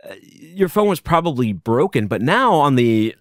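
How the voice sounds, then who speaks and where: A man hosting a solo podcast from his basement, talking loudly and casually, rambling and chuckling as he goes. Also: medium pitch, normal speed, no echo, clean studio sound. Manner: animated, rambling, self-interrupting, chuckles often; loud voice. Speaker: podcast host. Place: home basement.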